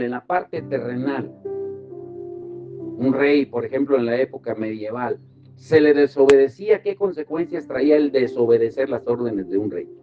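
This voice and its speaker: A man teaching a devotional Bible study as a pastor. He asks the group questions and sends them to verses. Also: medium pitch. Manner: asks rhetorical questions and directs listeners to verses. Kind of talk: teaching sermon. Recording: slightly dull with music behind.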